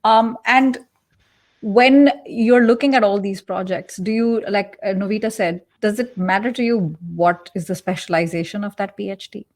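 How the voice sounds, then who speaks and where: A woman's voice: medium pitch, normal speed. A woman moderating a panel discussion, chatty and full of fillers as she leads into each question. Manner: chatty, leans on "you know" and "like".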